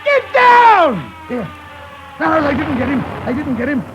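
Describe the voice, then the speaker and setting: A cheery soldier under fire, high-voiced and swinging wildly in pitch. The old radio-play recording is muffled, with clear noise around him. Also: male voice, huge pitch swings, slow, full character voice. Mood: excited alarm. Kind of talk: 1950s radio play acting.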